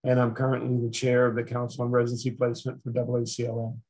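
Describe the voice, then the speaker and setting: A middle-aged man with a husky voice introduces himself over a video call. He speaks formally and in a measured way. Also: mid-range voice, completely flat delivery, darkish tone, rough recording. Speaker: associate dean.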